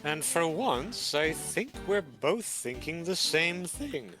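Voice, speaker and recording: A composed male courtroom lawyer played by a man, speaking slowly in a high register. His delivery is deliberate and wry, with an exasperated streak. Music plays clearly behind him. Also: very animated. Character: composed, polite male lawyer probing the evidence. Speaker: amateur voice actor in a fan dub. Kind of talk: scripted courtroom drama read with joking asides.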